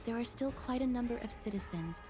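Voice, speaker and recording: An actress playing a gentle, solemn young maiden in a video game, speaking softly, formally and with composure. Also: female voice, fairly high pitch, lively intonation, brisk, lightly acted. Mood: calm. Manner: soft-spoken, formal, composed. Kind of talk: video game drama acting. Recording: game music behind voice.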